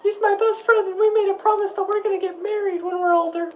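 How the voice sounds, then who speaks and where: A very high, childlike voice over music: a woman acting a nine-year-old tomboy girl in a radio play. She is blunt, indignant and ready to argue with grown-ups. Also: fairly even intonation, fast talker, muffled recording. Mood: earnest, chatty. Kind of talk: acted radio interview for a school project.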